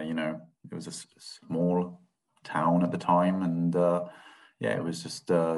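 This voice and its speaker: A man in his thirties with a low, deep voice, talking casually as a podcast guest. He speaks in a reflective, halting way and stops often to find his words. His was Thai. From England, grew up in Swindon. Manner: reflective and hesitant, with many fillers and restarts. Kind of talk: casual interview.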